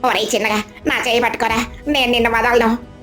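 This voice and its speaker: A woman's voice: a little high, flat delivery, brisk, fairly bright tone, lightly acted. A woman voicing a mother crow in a storybook tale, pleading and lamenting dramatically. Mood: panicked, wailing. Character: frantic, remorseful mother crow, once greedy. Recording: music behind the voice. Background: clear background music.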